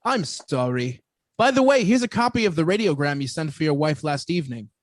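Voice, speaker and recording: A man's voice: fairly high pitch, lively intonation, a little fast, no room sound, clean studio sound, close to an everyday voice. A man playing a polite, attentive ocean liner steward in a radio play. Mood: courteous, solicitous.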